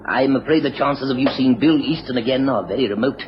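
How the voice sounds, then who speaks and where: An actor playing a plain-spoken, inquisitive police superintendent, questioning and matter-of-fact, in a period radio drama. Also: male voice, mid-range voice, fast, close to an everyday voice. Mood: inquiring. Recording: muffled radio recording with clear hiss.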